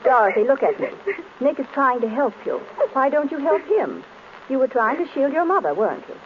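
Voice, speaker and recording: An actress playing a detective's poised, inquisitive, teasing wife in crisp 1930s radio style. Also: female voice, sits high, very animated, brisk. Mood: coaxing. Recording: dull, noisy old radio recording.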